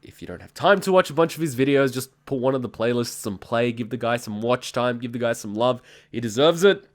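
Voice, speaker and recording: A man's voice: mid-range voice, lively intonation, brisk. Brief: male, twenties, hosts a reaction video with a blunt, profane ranting delivery. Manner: ranting, blunt, heavy swearing. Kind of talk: casual reaction commentary.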